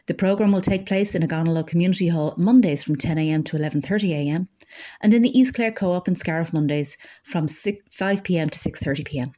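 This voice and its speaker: A woman's voice: lowish voice, fast, mellow. A woman reading scripted local news as a community radio newsreader.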